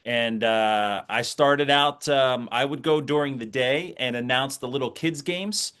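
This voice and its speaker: A man's voice: medium pitch, a little slow, fairly bright tone, clear, resonant tone. A middle-aged American man, a sports announcer, speaking conversationally as an interview guest and reflecting through anecdotes.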